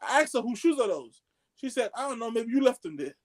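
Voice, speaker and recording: A man telling a story among friends on a podcast, excitable and slangy, acting out the dialogue as he goes. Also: very high voice, a little fast. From Haitian background. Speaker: podcast co-host.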